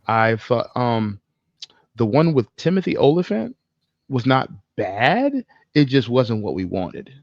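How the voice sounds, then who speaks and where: Male co-host, creaky lowish voice, informal opinionated banter with casual swearing, dry and slightly lo-fi. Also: big pitch swings, takes it slowly, darkish tone, no room sound. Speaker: podcast co-host. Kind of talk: casual chat about movies and games.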